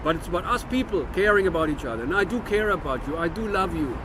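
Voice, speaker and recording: A man's voice: on the high side, expressive, quick pace. Earnest German-American man near traffic. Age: 50s. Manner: earnest, philosophical, with frequent restarts. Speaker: vlogger. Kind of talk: reflective monologue to camera.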